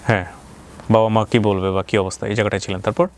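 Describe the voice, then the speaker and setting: A man's voice: on the low side, flat delivery, fast talker. A man with a Bangladeshi accent hosting an interview, putting direct, probing questions. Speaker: interviewer, show host. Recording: clearly noisy background.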